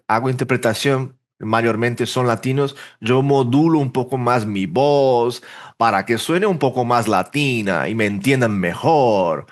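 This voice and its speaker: A man from Brazil, a native Portuguese speaker, being interviewed in a relaxed way, thinking aloud with restarts and fillers. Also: medium pitch. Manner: rambling, self-correcting, thinks aloud with fillers. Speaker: interpreter and language teacher, podcast guest. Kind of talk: casual interview conversation.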